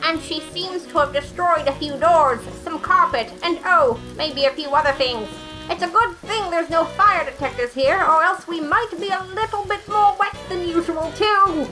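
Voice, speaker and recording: A woman voicing a proud, theatrical male skeleton detective with a booming, declamatory delivery in a storybook reading. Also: normal speed, hardly any pauses, music in the background, rough recording. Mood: shouting cheerfully.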